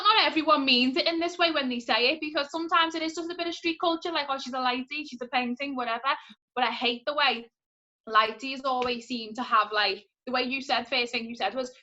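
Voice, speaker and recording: A bright, forceful woman's voice, fairly high, talking with passion and emphasis in a casual group chat. The audio is dull and lo-fi. She speeds up in quick bursts when she makes a point. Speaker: podcast co-host.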